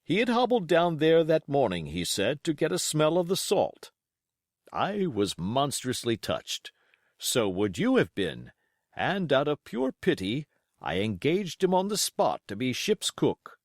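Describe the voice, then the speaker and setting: A man performs a pompous, excitable country squire from a storybook. He blusters emphatically through a letter, his pitch swinging very widely, in a dry, close recording. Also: unhurried, dry recording, heavily acted. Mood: blustering, confiding.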